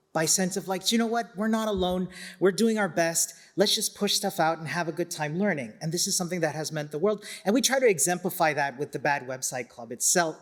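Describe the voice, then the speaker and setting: A middle-aged man with a slightly high voice speaks as a conference presenter. He is enthusiastic and self-deprecating, dropping conversational asides into an informal talk. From Chile. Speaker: conference speaker, community organiser.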